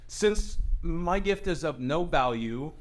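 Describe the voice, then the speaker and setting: Casting: performer as a proud male dragon in human form, blunt, temperamental, slow delivery with wide swings, for a table read. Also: medium pitch, lively intonation. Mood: conceding. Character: furious, proud male dragon shapeshifter suitor.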